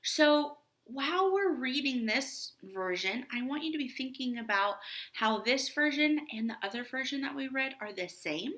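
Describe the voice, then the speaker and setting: A woman reading a children's story aloud like a teacher, with deliberate, expressive delivery. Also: high-pitched.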